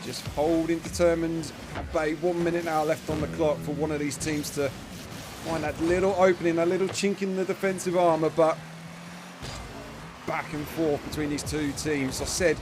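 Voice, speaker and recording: A man giving chatty live play-by-play commentary on a match, his voice starting to go hoarse. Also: a little high, normal speed, noisy background. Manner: chatty, self-deprecating asides, bursts of excitement on big plays. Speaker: esports commentator.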